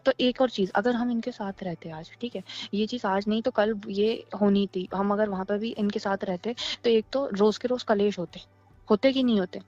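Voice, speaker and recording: A woman's voice: medium pitch, moderate pace, a little mellow. A woman in a casual phone conversation, reasoning persuasively and repeating phrases to get her point in. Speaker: caller in a private phone conversation. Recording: dull lo-fi phone call recording, music behind.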